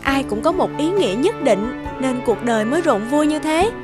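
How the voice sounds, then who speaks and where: A kind little cartoon spirit girl, high and gentle. She sounds reassuring, with music playing behind her. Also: animated, moderate pace. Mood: gentle, consoling. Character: kind, bright young Light spirit girl.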